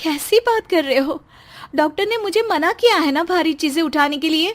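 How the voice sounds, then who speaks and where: A woman's voice: very high-pitched, normal speed, pitched above the performer's own voice. A woman voicing a meek, pained young pregnant wife with a plaintive manner in a storybook tale. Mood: protesting.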